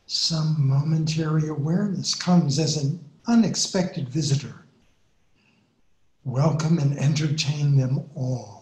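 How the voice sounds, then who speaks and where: A man speaking in a measured, reverent way with long pauses, reciting poetry and welcoming listeners to a guided meditation. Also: a little high, a little mellow, echoey room, rough recording.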